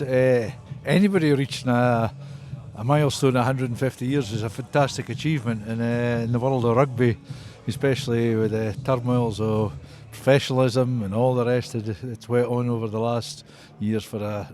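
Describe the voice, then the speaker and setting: Older male guest, Scottish Borders accent, club historian recalling the past in an interview, mid-pitched voice over faint music. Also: steady tone, brisk, a little mellow.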